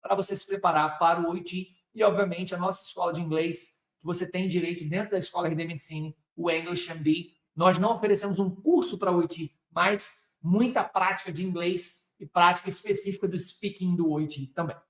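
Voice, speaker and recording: Energetic Brazilian man teaching, high-pitched. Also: moderate pace, hardly any pauses, reverberant. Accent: Brazilian Portuguese. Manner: energetic, direct, addresses viewers with rhetorical questions. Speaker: medical exam prep teacher. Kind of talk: live online lecture.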